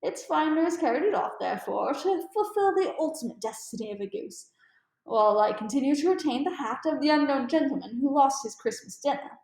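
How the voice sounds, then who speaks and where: A woman's voice: high-pitched, lively intonation, brisk, a little mellow, reverberant, low-quality recording. A woman voicing a keen, amused male detective with precise, formal and emphatic speech in a storybook read-aloud. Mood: keen, instructive.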